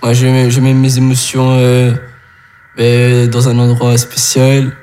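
A man's voice: mid-range voice, completely flat delivery, unhurried, dark tone, a little hiss or hum. Shy mumbling teenage boy. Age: teens. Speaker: guest.

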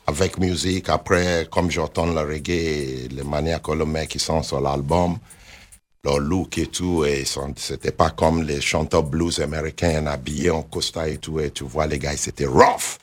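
Deep-voiced reggae musician guest. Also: male voice, normal speed. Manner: relaxed, mixes English phrases into his talk. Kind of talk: radio interview storytelling.

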